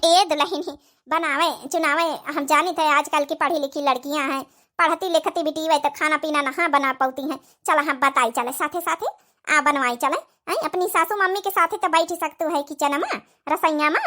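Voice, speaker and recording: Woman voicing a high-pitched, patient old Awadhi village mother-in-law. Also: steady tone, normal speed, pushed and bright, faint music behind. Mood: soothing, coaxing. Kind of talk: Awadhi comedy cartoon voice acting.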